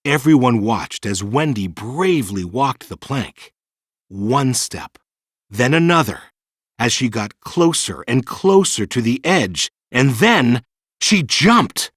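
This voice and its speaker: A man reading a children's storybook in an animated, dramatic storytelling manner. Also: mid-range voice, slow, breathy edge, dry recording, clean studio sound. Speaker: storybook narrator. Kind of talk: scripted children's storybook narration.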